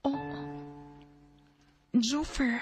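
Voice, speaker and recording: Slow, high-voiced, reluctant young lady. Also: fairly even intonation, darkish tone, soft background music. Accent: Filipino, Bisaya. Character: reluctant young woman being courted, gentle but frank. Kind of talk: Bisaya radio drama acting.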